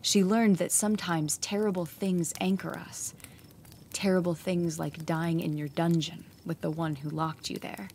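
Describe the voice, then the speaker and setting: Low-voiced woman narrating a horror tale. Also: steady tone, a little slow, a little mellow, a little hiss or hum. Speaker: storyteller. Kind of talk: scripted horror story narration. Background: music.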